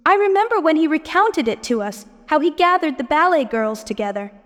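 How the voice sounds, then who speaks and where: An actress playing an excited young opera girl who passes on ghost rumours in a dramatic, intrigued way. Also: high voice, a little fast. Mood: eerie intrigue. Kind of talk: theatrical audio drama. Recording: long hall reverb under violin music.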